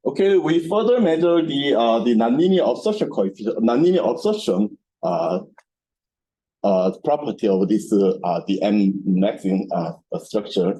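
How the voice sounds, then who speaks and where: A man giving an academic lecture as a researcher at a seminar, speaking haltingly and repetitively with non-native English phrasing. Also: on the low side, lively intonation, low-quality recording. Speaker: researcher presenting at a scientific seminar. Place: seminar room with projection screen. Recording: bright room recording with background noise.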